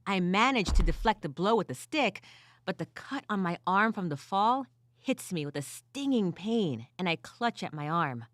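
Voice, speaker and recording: Woman acting a weary, gritty furred adventurer, highly animated. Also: moderate pace, no echo, natural voice. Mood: weary, pained. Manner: dramatic first-person storytelling with wry asides. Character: weary, gritty furred female adventurer casting wind spells. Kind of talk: audio drama narration.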